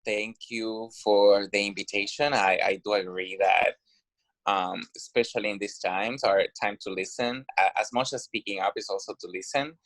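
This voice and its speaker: Earnest man, low and dry. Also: fairly even intonation, moderate pace, a bit bright, no echo, poor audio. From Puerto Rico. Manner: hesitant, many fillers and restarts, earnest. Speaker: guest, political candidate. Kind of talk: interview answers.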